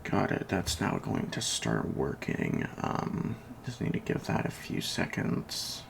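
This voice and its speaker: A soft-spoken ASMR performer in a repair roleplay as a computer technician, murmuring haltingly while absorbed in the task. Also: low-pitched, wildly swooping pitch. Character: unhurried computer technician repairing a robotic client. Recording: loud fan noise, home recording. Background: PC fan, keyboard typing, clicks, creaking chair.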